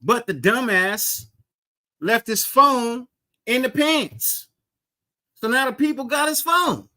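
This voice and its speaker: A man hosting a casual live sports podcast, greeting chat viewers by name and talking loosely with a mild stutter and repeated words. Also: slow. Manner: gets higher and more animated when excited. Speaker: podcast host.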